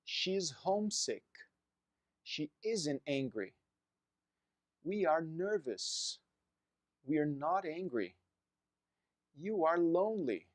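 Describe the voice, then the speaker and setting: A man in his thirties, a native Portuguese speaker, teaching an English lesson and drilling phrases slowly for learners to repeat. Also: fairly high pitch, very animated, no echo, studio quality. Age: 30s. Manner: slow, deliberate drilling of phrases for repetition. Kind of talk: recorded online language lesson.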